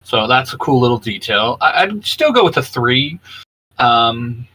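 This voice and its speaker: A man co-hosting a relaxed geek discussion, giving his opinions in an informal, conversational way. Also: medium pitch, a little slow, breathy edge, low-quality recording. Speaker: co-host of a geek culture show. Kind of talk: casual chat, reviewing video game designs.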